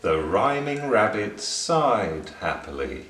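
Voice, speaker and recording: Slow, expressive man reading a children's story. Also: on the low side. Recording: roomy, distant, faint background noise.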